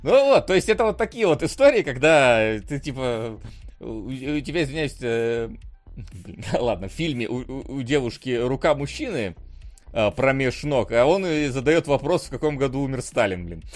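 A man chatting casually on a film podcast, his pitch rising and falling a lot. He rambles and restarts his sentences, with plenty of fillers and laughs. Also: expressive, normal speed. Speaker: podcast co-host.

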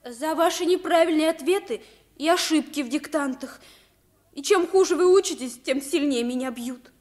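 A woman as a timid, very high-voiced servant boy. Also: normal speed, darkish tone, natural voice. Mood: earnest. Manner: pleading, earnest. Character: timid, humble young servant boy, eager to please. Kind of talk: 1950s Russian radio play acting.

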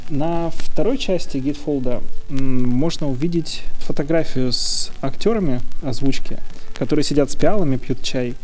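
Guy co-hosting a podcast, soft mellow tone, chatty and a bit hesitant, slight noise in the recording. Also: a little high, moderate pace, poor audio. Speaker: podcast co-host. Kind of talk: casual chat.

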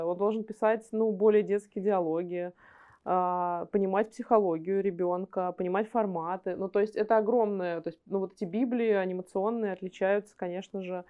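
A woman's voice: medium pitch, phone-quality sound. A woman being interviewed as a guest, explaining things conversationally and often rephrasing herself mid-sentence. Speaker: interview guest from an animation studio.

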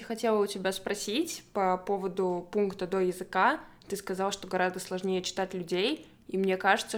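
A young woman hosting a casual conversation, framing long thoughtful questions. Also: lowish voice. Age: young adult. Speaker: podcast host.

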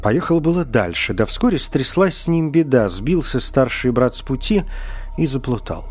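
A man tells a folk tale in an expressive, folksy manner. His middle-pitched voice rises and falls widely and is a little husky, over faint music. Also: normal speed. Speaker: storyteller. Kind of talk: scripted fairy-tale narration.

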